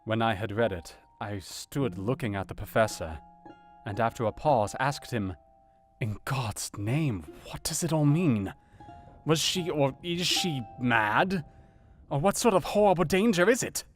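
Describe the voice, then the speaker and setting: An actor playing a grave, conscientious doctor, narrating his own account in a measured, formal, sombre way in an audio drama. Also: mid-range voice, lively intonation, soft background music, close to an everyday voice. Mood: bewildered. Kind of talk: dramatized first-person narration.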